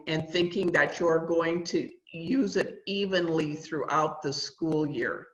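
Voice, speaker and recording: A woman trainer presenting a school nutrition webinar, with a loud voice that carries and many fillers. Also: fairly low pitch. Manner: chatty, uses many fillers. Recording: roomy, dull lo-fi sound.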